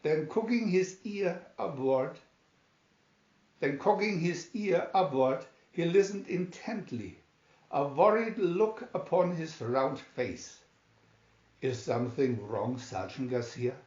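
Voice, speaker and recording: Slow, higher-voiced man reading in an echoey room. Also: animated, darkish tone, dull audio. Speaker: storyteller reading a picture booklet. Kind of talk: read-aloud story narration.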